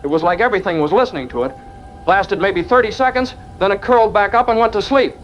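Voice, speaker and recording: A man's voice: sits high, quick pace, faint music behind, close to an everyday voice. A man playing an earnest young university lab researcher, speaking conversationally in an interview-style audio drama. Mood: uneasy, wondering. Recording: dull audio with background noise.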